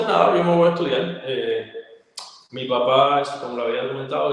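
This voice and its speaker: Man, Cuban accent, young adult, video call guest, very echoey room, long reverb. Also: mid-range voice. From Cuba. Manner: fluent storyteller, frequent fillers. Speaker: guest, actor and model. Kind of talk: casual interview answers. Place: indoor room, plain wall.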